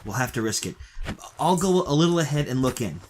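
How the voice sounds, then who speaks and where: An actor playing an anxious, protective hero in an old-time radio thriller, earnest and hesitant. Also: male voice, medium pitch, lively intonation, a little fast, noisy background, close to an everyday voice. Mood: wary. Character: anxious, protective young male hero trapped with his sweetheart. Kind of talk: 1930s-style radio thriller acting.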